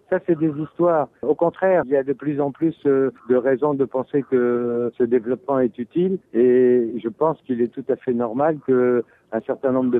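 A man answering on a panel over a tinny, phone-like line that sounds dry and close. His delivery is measured, and he grows emphatic when he pushes back on a question. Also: mid-range voice, no room sound. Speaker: spokesperson of an international organization.

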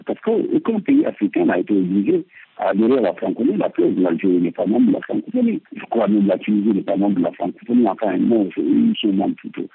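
Gravelly husky man on a phone line. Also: lowish voice, no echo. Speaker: government minister. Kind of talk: debate answer.

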